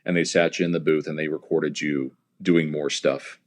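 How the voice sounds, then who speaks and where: Deep-voiced American man, halting and conversational. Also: fairly even intonation. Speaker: stadium public address announcer, interview guest. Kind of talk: casual interview talk, anecdotal.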